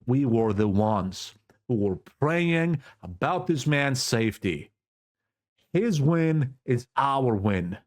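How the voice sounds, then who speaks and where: Greek cypriot guy ranting to his camera, slow and dramatic, voice all over the place, says stuff twice. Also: mid-range voice, very animated. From Cyprus. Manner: fervent and preachy, repeats words for emphasis. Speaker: vlogger. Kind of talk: impassioned political monologue to camera. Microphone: self-recorded camera setup.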